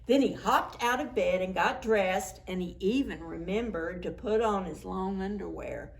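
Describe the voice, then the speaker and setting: A woman doing an expressive, playful picture-book read-aloud for preschool children. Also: medium pitch, normal speed, vocal fry. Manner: playful, acts out sound words. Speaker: children's storytime reader.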